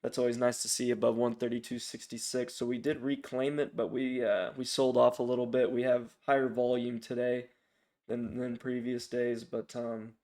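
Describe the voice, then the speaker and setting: A man with a mid-pitched voice gives an off-the-cuff stock trading commentary. He sounds sick and raspy from a throat infection. His intonation stays very flat, and he talks informally and hesitantly, working out his thoughts as he goes. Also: normal speed. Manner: informal, hesitant, thinking aloud. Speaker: stock trading channel host. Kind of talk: casual, unscripted stock watchlist commentary.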